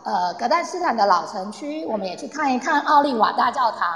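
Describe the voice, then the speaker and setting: A woman's voice: on the high side, animated, a little fast. A woman playing a cheerful, eager tour guide in an amateur radio play, chatting to her group as she explains. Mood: cheerful, explanatory. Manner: chatty, addresses group, uses soft sentence-final particles. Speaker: tour guide in an audio drama. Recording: echoey, long reverb, online audio-room recording.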